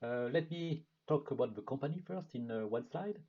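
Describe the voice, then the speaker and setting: A man with a French accent giving a product marketing presentation in a webinar, speaking in a measured way with frequent filler sounds. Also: medium pitch, animated, dark tone. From France. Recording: dull lo-fi webinar audio.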